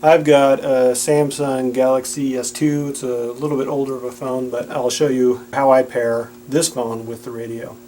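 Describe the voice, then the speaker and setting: Guy walking through product features, mellow plain voice, bit of hum behind him. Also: medium pitch, level tone, moderate pace, few pauses. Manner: plain-spoken, instructional. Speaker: product demonstrator. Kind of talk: explanatory product demonstration. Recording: audible background noise with hum.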